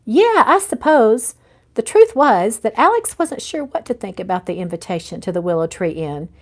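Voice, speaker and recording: A woman's voice: fairly low pitch, sing-song, a little fast, a little mellow. A woman author reading their own romance novel aloud in a storytelling narration.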